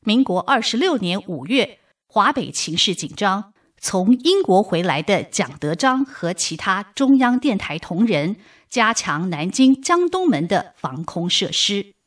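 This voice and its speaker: A woman's voice: mid-range voice, sing-song, measured pace, far from the mic. A woman playing the solemn, formal narrator of a wartime radio drama, reading scripted storytelling.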